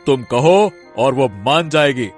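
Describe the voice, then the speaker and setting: A man's voice: medium pitch, animated, slow pace. Man acting a menacing village boss over music. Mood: threatening. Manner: stern, domineering, scolding. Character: menacing, vengeful village big man scheming against a young woman. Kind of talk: Hindi cartoon story acting.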